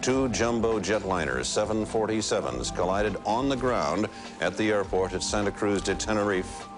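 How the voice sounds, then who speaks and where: Deep-voiced male news anchor. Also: lively intonation, moderate pace, music in the background. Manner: formal broadcast delivery. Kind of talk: archival news report.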